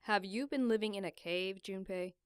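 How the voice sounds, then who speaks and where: A woman's voice: mid-range voice, normal speed, clean tone, no room sound, natural voice. A woman voicing a cool, self-assured woman with dry, teasing wit in a game fan dub.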